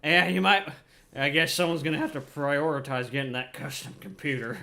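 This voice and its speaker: Higher-voiced man giving casual gameplay commentary. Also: steady tone, brisk, creaky, pushed and bright. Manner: informal, explanatory, thinking aloud. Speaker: gamer, streamer explaining gameplay.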